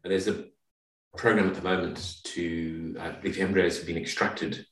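A middle-aged man from Zimbabwe giving measured, slightly hesitant explanations as a guest in a conversational interview. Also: sits low, flat delivery, a bit bright. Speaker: podcast guest. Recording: roomy, distant microphone.

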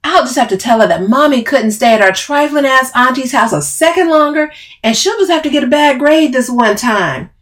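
A woman's voice: a little high, expressive, slow, pitched above the performer's own voice. A woman voicing an overbearing, confrontational woman in dramatic audiobook dialogue, emphatic and bossy. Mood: angry, swearing. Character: Camille, overbearing, furious, taunting woman, bossy cousin.

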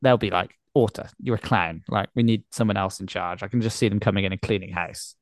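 A man's voice: lowish voice, brisk, no echo, clean studio sound. A man hosting a podcast, talking casually through sports discussion. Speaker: podcast host.

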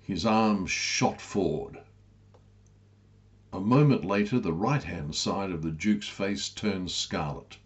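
A man's voice: low-pitched, slow, highs cut off. A man reading a comic story as a scripted narration, in a wry, literary manner. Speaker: author reading own story.

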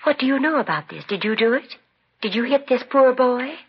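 A woman's voice: high voice, lively intonation, brisk, husky, exaggerated voice. An actress playing a domineering, fussing middle-aged mother in a 1950s radio drama. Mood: indignant. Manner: fussing, imperious, cooing over her son. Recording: muffled, noisy 1950s radio broadcast with clicks.

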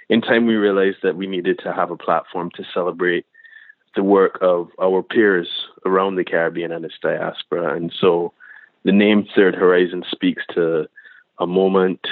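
A very deep-voiced man from Barbados talks over a thin, tinny phone line. He speaks as a filmmaker guest, measured and reflective, sometimes picking up speed, with a dry sound and no room behind him. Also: no room sound. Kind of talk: reflective interview answers.

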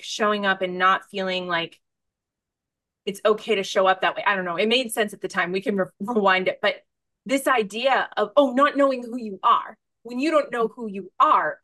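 A woman hosting a podcast in casual conversation, effusive and informal, thinking out loud as she talks. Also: mid-range voice, no room sound. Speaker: podcast host interviewing a guest.

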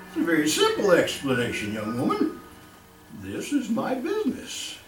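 A man's voice: high-pitched, wildly swooping pitch, unhurried, exaggerated voice. An actor playing a gleeful, sinister old male killer in old-time radio style, stammering and chuckling with mock courtesy. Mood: gleeful menace. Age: older. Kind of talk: live old-time radio horror acting. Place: live stage performance. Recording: roomy, distant live stage with music.

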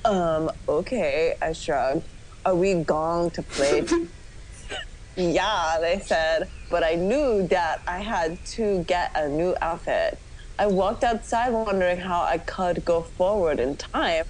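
A woman's voice: on the low side, expressive, takes it slowly, a little mellow, a little hiss or hum, low-quality recording. A woman giving a comic dramatic reading of fan fiction, often giggly as she goes. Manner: giggly, often breaking into laughter. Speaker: podcast host doing a dramatic reading.

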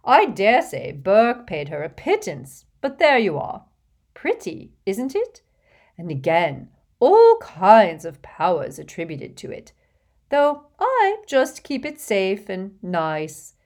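A woman's voice: mid-range voice, huge pitch swings, takes it slowly, a little mellow. A woman voicing a doting, gushing wealthy old woman who shows off her treasures in a fawning, breathless way, dropping now and then to coy whispers. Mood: boastful.

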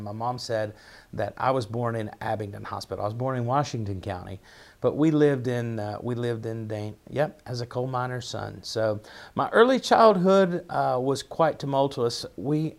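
A middle-aged man with an Appalachian accent, telling personal stories as a guest in an interview, halting and reflective, often repeating words. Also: fairly low pitch. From southwest Virginia, United States. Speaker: pastor, podcast guest.

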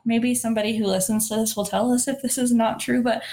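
Nasal young woman, slightly high voice, chatty podcast co-host, swears freely. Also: steady tone, quick pace, dark tone. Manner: chatty, swears a lot, laughs often. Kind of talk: casual chat.

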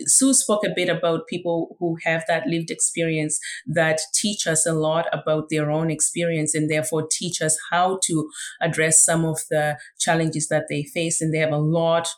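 A woman's voice: low voice, little pitch movement, normal speed. A woman guest on a podcast, answering thoughtfully with many fillers. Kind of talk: casual interview chat.

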